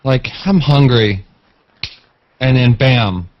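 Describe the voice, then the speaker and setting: A man's voice: mid-range voice, takes it slowly, a little hiss or hum. Jokey man on a muffled video call. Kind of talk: casual group chat. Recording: dull lo-fi video call audio.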